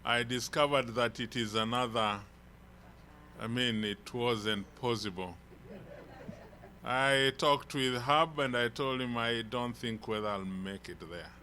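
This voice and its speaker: Kenyan male church elder, unhurried storyteller, bright clear tone. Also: medium pitch, slight background noise. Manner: slow, rambling storytelling with restarts. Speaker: visiting elder preacher. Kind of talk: informal sermon introduction. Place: church hall.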